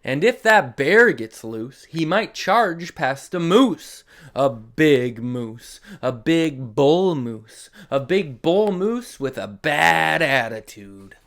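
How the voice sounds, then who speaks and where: A man's voice: a little high, very animated, slow pace, fairly bright tone. A man hosting a read-along for children, reading aloud expressively with dramatic emphasis and chatty asides to young listeners. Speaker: host of a children's read-along story series.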